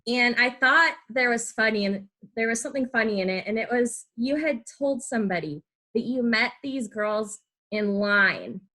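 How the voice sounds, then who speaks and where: A woman's voice: a little high, normal speed, few pauses, bright, forceful tone. A young adult woman hosting a casual interview, asking questions in an informal, chatty way. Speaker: podcast host, interviewer.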